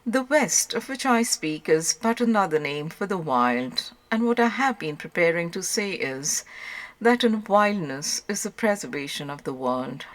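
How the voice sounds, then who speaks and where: A woman with a low-pitched voice narrates an audiobook. She reads literary prose from a script, as a narrator of written work. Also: animated, unhurried.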